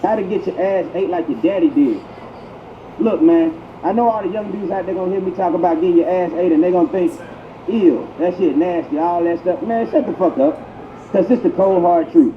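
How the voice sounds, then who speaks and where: A man's voice: high voice, fairly quick. A man delivering crude comedic mock tutorials in a profane, slangy, instructional manner. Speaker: prank tutorial narrator. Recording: echoey, muffled, noisy intercom playback. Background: public transit ambience.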